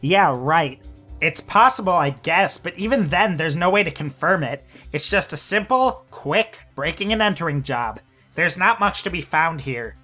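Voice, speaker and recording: An actor playing a brash, dismissive pony police investigator with a sarcastic manner in an audio drama. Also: male voice, a little high, expressive, moderate pace, pushed and bright, faint music behind.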